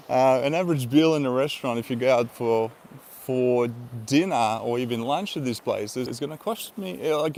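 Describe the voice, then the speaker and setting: An easygoing man with an Eastern European accent talks to his live viewers in a loose, rambling way, laughing often and filling his pauses with "uh" and "um." His voice sits at an ordinary middle pitch for a man, with faint outdoor hiss behind it. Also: a little mellow. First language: Russian. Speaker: vlogger. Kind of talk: casual live-stream chat.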